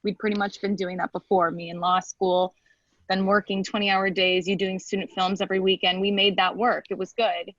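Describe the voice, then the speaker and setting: A dry, slightly dull recording of a woman acting as a hurt, plain-spoken lawyer facing an ex. The delivery is naturalistic drama, halting and emotional, in a middle-pitched female voice. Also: flat delivery, fairly bright tone, no echo, lightly acted. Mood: hurt, reproachful. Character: hurt, blunt ex-partner, a hard-working lawyer. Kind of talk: screenplay table read, naturalistic acting.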